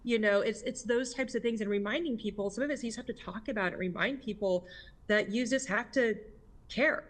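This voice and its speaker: A woman's voice: fairly high pitch, brisk. Candid American woman giving interview answers. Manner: candid, emphatic, often adds "right?" and rhetorical questions. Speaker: interviewee, district governor of a service club.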